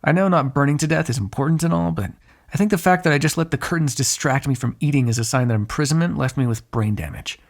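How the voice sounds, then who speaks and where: A man's voice: fairly high pitch, fast, vocal fry. A man playing a sarcastic, flirtatious vampire in an audio roleplay, teasing and swearing casually. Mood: playful, wheedling. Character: snarky, flirtatious, insatiable male vampire on the run. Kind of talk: ASMR roleplay acting, one side of a dialogue.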